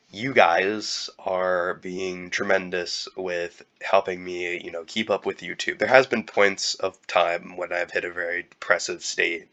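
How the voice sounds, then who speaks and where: A young man with a cold, talking in a halting, casual way with many fillers and restarts. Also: low-pitched, a bit bright, highs cut off. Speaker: YouTuber. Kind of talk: casual year-end vlog.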